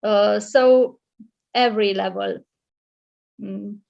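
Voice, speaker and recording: A woman's voice: medium pitch, fairly even intonation, takes it slowly, creaky. A middle-aged woman from Romania, a librarian and English tutor, speaking as a guest in a casual video-call interview, hesitant with many fillers and restarts. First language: Hungarian. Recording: muffled lo-fi audio.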